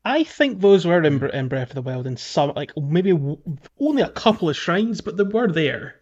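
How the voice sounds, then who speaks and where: A man's voice: on the high side, sing-song, normal speed, darkish tone, highs cut off. A man hosting a podcast, chatting casually about a video game in a conversational, slightly hesitant way. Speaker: podcast host.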